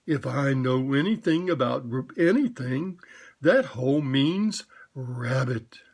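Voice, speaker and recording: A man voicing a slow, humming, food-loving bear, with a low, unhurried, sing-song manner. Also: expressive. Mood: musing.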